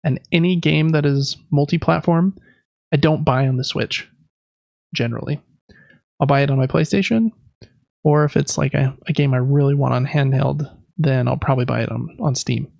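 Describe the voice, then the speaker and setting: A man's voice: medium pitch, normal speed, vocal fry, a little mellow, no echo, dull audio. A man co-hosting a podcast, talking through news casually in an informal, rambling, self-correcting way. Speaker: podcast co-host. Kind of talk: casual gaming news chat.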